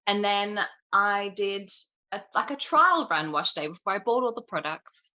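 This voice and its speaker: A young woman with a Queensland Australian accent, chatting as an interview guest and telling stories with animated energy. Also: medium pitch, unhurried, lo-fi sound. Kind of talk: casual chat.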